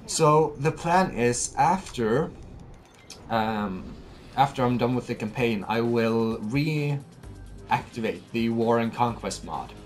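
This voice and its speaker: A man's voice: medium pitch, expressive, slow, faint music behind. A young man from Norway with a Norwegian accent, giving chatty, enthusiastic gameplay commentary and often repeating himself. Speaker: let's play host.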